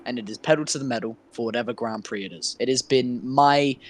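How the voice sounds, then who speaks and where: A man's voice: mid-range voice, fast talker. A man co-commentating a sim race, playful and dramatic, often stammering as he starts a sentence.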